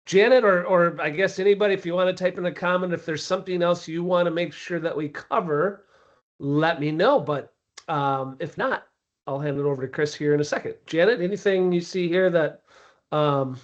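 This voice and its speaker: A man's voice: fairly high pitch, muffled recording. A man with a scratchy voice from a cold, presenting casually on a business webinar with frequent ums. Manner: conversational, with frequent ums and restarts. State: hoarse from a cold. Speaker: sales presenter. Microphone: video call.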